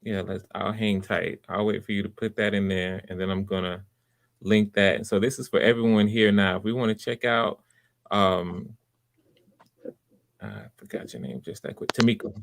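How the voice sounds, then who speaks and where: A man hosting live auditions in casual, unscripted chat, with an encouraging manner and a halting way of repeating and backtracking. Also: fairly low pitch, steady tone, vocal fry, dry recording. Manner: encouraging, supportive. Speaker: host running live voice auditions.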